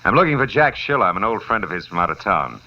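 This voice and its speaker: An actor playing a tough, wry private detective who narrates in clipped 1950s radio noir style. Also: male voice, on the low side, big pitch swings, fast, sharp tone, slight background noise, heavily acted. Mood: folksy, feigned. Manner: hard-boiled, wry, clipped. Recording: muffled vintage radio with music.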